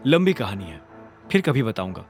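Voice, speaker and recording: A man as a composed, firm young fighter, lowish. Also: sing-song, moderate pace, a little mellow, soft background music. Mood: evasive. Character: self-assured, defiant young male fighter, calm and terse. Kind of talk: Hindi audio drama character voice.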